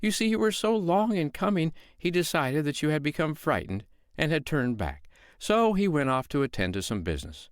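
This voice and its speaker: A man voicing a calm, shrewd foster mother, talking in a sweetly sly, coaxing way. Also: a little high, normal speed, few pauses, dry recording, studio quality, voice pushed up.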